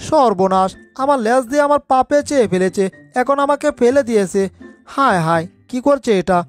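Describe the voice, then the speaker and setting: Lady doing a high voiced scaredy cartoon tomcat, fretting and exclaiming, music underneath. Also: expressive, a little fast, lightly acted. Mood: startled, annoyed. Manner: exclaiming, fretful muttering to itself. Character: panicky, put-upon male cartoon cat, easily frightened. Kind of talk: cartoon fan dub.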